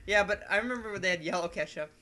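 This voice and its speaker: A man in a casual chat among friends, rambling and arguing his point in an excitable way. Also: high voice, rapid-fire, crowd noise. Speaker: podcast guest.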